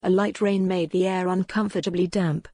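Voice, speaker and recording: A woman's voice: on the low side, level tone, normal speed, warm tone, no echo. A woman narrating a historical romance audiobook in a scripted, dramatic storytelling style.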